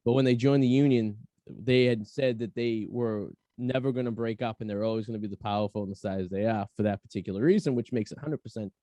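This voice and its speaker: A man's voice: fairly low pitch, fast, hardly any pauses, mellow, dry recording. A man hosting a podcast, chatting casually in a blunt, rambling way and often repeating his phrases. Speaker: podcast host. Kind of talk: casual chat.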